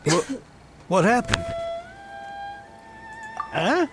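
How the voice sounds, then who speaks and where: An actor playing an excitable, stammering, hard-luck male merchant in a video game, flustered and easily astonished. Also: extremely high pitch, drawn-out words, over loud music, full character voice. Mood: flustered, haggling.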